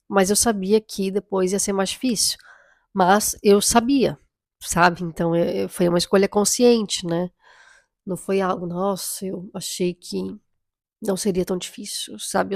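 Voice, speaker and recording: A hoarse woman, talking casually about motherhood while getting over a cold, chatty and reflective. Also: medium pitch, steady tone, moderate pace, no echo, studio quality. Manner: chatty, reflective, often tags lines with "right?" and "you know?". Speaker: mother vlogger. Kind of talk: casual chat to camera.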